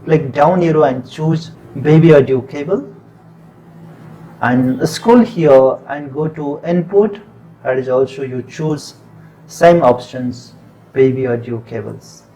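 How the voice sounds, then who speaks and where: A man with a Nepali accent walks the listener through a computer tutorial one step at a time, calm and unhurried. His voice sits a little high for a man. A faint hiss and room sound run under the recording. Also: rough recording. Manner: plain step-by-step instructions, unhurried. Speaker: tutorial presenter. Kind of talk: screen-recorded software tutorial.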